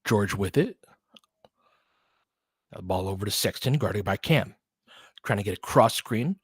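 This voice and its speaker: A man in his forties doing casual play-by-play of a basketball game, chatty and excitable, thinking aloud between plays. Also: lowish voice, unhurried, slightly rough, dry recording, clean studio sound. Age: 40s. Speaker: play-by-play host. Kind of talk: casual fan play-by-play commentary.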